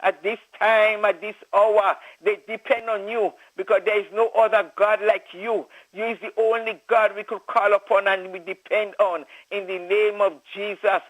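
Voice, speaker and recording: Man, high voice, fervent prayer, tinny radio sound. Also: level tone, moderate pace, few pauses, a bit bright. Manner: repeats "oh God, Father" between phrases. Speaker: prayer leader.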